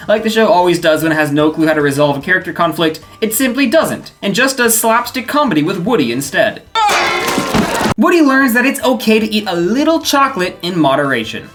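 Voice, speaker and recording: Woman video-essay host, low-ish voice, lively pitch, dry and sarcastic delivery. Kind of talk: scripted narration.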